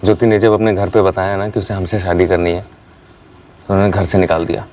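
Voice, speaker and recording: An actor playing a young runaway bridegroom in a TV crime drama, persuasive and reassuring. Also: male voice, sits low, level tone, brisk, darkish tone, far from the mic, slight background noise, lightly acted. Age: young adult. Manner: coaxing, reassuring.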